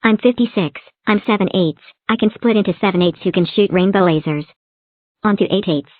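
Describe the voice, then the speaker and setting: A woman's voice: mid-range voice, brisk, no echo, close to an everyday voice. A woman voicing a bubbly little talking number block in a fan-made cartoon, chirpy and sing-song. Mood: cheery. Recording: tinny phone-like dry recording.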